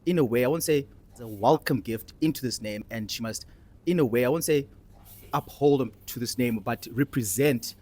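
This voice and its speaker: A man's voice: mid-range voice, moderate pace, darkish tone. A young man with a South African accent hosting a casual discussion, telling personal stories with emphatic repetition. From Cape Town, South Africa. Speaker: discussion host and participant.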